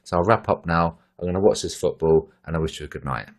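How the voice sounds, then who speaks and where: A man with a very low, deep voice, sounding tired as he talks through charts. His delivery is relaxed and rambling, full of fillers and restarts, like casual commentary over a screen share. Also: quick pace. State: tired after a long bike ride. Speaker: trading channel host.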